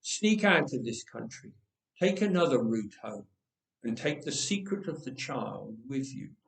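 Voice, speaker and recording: A man's voice: medium pitch, big pitch swings, measured pace, vocal fry. A man voicing a solemn heavenly messenger who gives urgent warnings in a storybook reading. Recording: roomy echoing voice recording.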